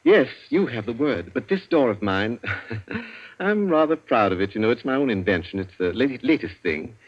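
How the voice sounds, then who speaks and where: Urbane, cunning British prisoner, suave and precise, husky edge, old lo-fi radio suspense recording. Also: male voice, medium pitch, very animated, moderate pace. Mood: wry, self-satisfied. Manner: suave, precise, leisurely explaining. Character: urbane, cunning male captive inventor, smugly polite.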